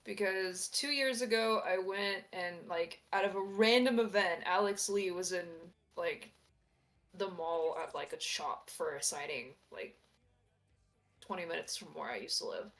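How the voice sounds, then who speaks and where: A woman's voice: lowish voice, a little slow, a bit bright. A woman chatting casually on a livestream, telling personal anecdotes in a rambling, conversational way.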